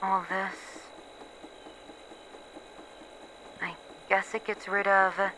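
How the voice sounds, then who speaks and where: A woman playing a despairing, bitter researcher in a horror roleplay log, halting and wry as she faces her doom. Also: lowish voice, pushed and bright, rough recording. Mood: dazed, halting. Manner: halting, wry, self-mocking, sighing. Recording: faint background noise.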